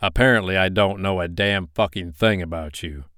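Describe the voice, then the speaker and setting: A man's voice: fairly low pitch, sing-song, slow pace, smooth, no echo, studio quality. A man voicing a furious young ex-soldier who speaks harshly and curses in an audiobook. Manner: harsh, cursing, loud and biting.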